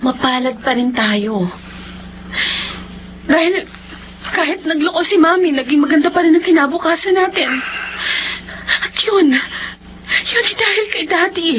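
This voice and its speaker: A woman's voice: very high-pitched, animated, measured pace, pauses often, a bit bright, slight background noise, heavily acted. An actress playing a young woman doctor, a bitter and emotional daughter with a tearful, resentful manner. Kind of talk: radio drama acting. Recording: dull lo-fi with faint music.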